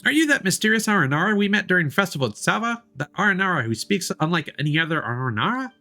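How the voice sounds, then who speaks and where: A man voicing a small, excitable, chatty fairy companion from a video game in an eager, exclamatory way. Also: high-pitched, quick pace, faint music behind, pitched above the performer's own voice, heavily acted. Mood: surprised, curious.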